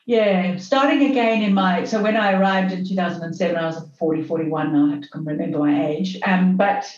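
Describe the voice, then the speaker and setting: A woman in her fifties from Zimbabwe, telling her story as a podcast guest in a reflective, halting way with many restarts. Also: on the low side, a little mellow. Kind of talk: casual interview storytelling. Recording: echoey, dull lo-fi sound.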